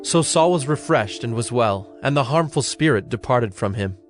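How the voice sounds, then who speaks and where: A man narrating a scripted Bible story in a formal, measured storytelling manner. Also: mid-range voice, expressive. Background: music.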